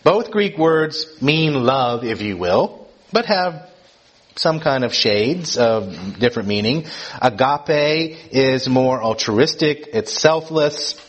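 A middle-aged man from Georgia gives a teaching sermon. His delivery is conversational. He keeps tagging his points with quick questions to his listeners. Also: medium pitch, expressive. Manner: conversational, keeps asking "right?" to the room. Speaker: preacher.

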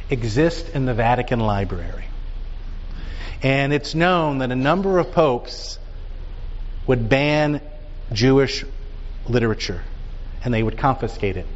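Man, Bible teacher, animated lecture, rhetorical questions, dull sound, long reverb. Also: medium pitch.

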